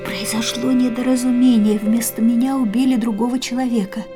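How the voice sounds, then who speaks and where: An actress in a radio play, voicing a grieving young woman in a quiet, stunned inner monologue that often drops to a whisper. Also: fairly high pitch, fairly even intonation, a little fast, music in the background, lightly acted.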